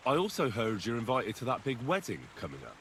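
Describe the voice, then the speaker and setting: An actor playing a young drifter looking for work, pushy and sarcastic. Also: male voice, medium pitch, sing-song, fast talker, a bit bright, a little hiss or hum.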